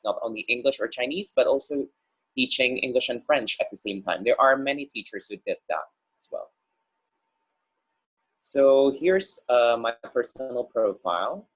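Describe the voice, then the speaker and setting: A man's voice: medium pitch, bright, forceful tone. A young man in his twenties with an Indonesian accent gives an earnest webinar presentation, often restarting his sentences and saying "you know". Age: 20s. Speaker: webinar speaker. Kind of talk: presentation over a video call. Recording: dull lo-fi call audio.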